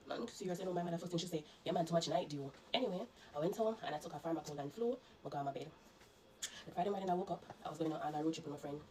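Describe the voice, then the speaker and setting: A woman's voice: low voice, darkish tone, slight background noise, rough recording. A Jamaican woman telling viewers, in patois-laced casual speech, about a lingering flu that still has her congested. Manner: rapid, earnest, mixing patois into the telling. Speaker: vlogger. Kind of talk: casual personal storytelling to viewers.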